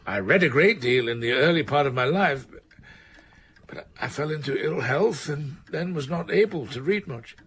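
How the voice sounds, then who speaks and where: Elderly literary sage, radio period drama: opinionated, formal, emphatic, husky, with a very mobile melody. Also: male voice, a little high, animated, fairly bright tone, full character voice. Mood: respectful. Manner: measured, emphatic, formal pronouncements. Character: elderly, weighty, opinionated English man of letters.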